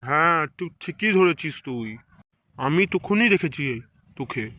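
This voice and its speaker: A man's voice: a little high, animated, unhurried, bright, forceful tone, no echo, highs cut off. A man voicing a middle-aged village elder in a comedy cartoon, nosy and indignant. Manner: questioning, indignant, repetitive. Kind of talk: comedy cartoon dubbing in colloquial village speech.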